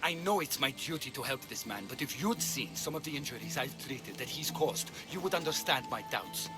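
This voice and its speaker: A man acting as an ancient healer torn by his conscience. His delivery is earnest and measured. It is game drama with clear music behind the voice. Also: mid-range voice, expressive, lightly acted. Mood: troubled. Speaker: video game character. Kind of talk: dramatic game cutscene dialogue.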